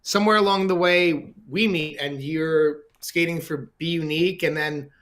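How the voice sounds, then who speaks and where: Male podcast host, slightly high voice, loose rambling question style, casual. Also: fairly even intonation, moderate pace, a bit bright. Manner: friendly, meandering questions full of "like". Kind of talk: casual interview.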